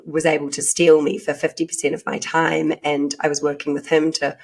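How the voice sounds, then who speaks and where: A woman's voice: low voice, flat delivery, a little fast, gravelly, slightly rough. A woman from New Zealand with a New Zealand accent, talking conversationally as an interview guest in an informal, hedging way. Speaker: podcast guest.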